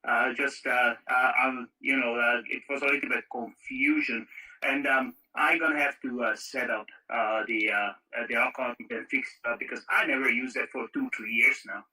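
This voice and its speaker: Male radio-net caller, very forceful edgy tone with rasp, hesitant, speaking through a phone app. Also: mid-range voice, steady tone, moderate pace. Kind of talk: casual radio chat. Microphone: talking into a phone through a walkie-talkie app. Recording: harsh, distant walkie-talkie app audio.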